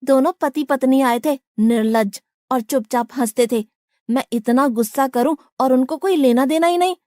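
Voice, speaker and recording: A high-voiced young boy devotee played by a woman from a cartoon moral tale. He is hungry, hot-headed and quick to complain, speaking bluntly to his elders. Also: a little mellow, no room sound, studio quality, close to an everyday voice. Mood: indignant. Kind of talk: animated moral-story acting.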